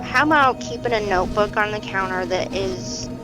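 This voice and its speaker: A woman acts an encouraging, practical clinician in an audio drama. She speaks conversationally at a middling pitch, gently coaxing and reassuring. Clear music plays behind her voice. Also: normal speed, lightly acted, poor audio. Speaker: doctor or therapist. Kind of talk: naturalistic audio drama dialogue.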